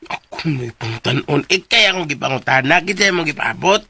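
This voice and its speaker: An actor playing a teasing, excitable, rather dim buddy who halts and repeats his words, in a radio drama with faint hiss. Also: a little high, gravelly, full character voice. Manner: halting, stuttering, repeats words.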